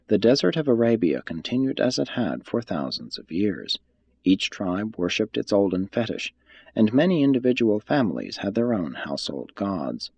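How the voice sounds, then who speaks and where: Low, slightly husky male audiobook narrator. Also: a little mellow, no echo, highs cut off. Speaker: reader of a religious text.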